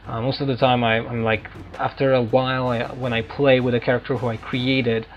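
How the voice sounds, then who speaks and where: A man who is a non-native English speaker giving casual, halting, digressive commentary as a gaming let's player. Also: medium pitch, little pitch movement, lo-fi sound, slight background noise. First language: not English. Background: faint game music.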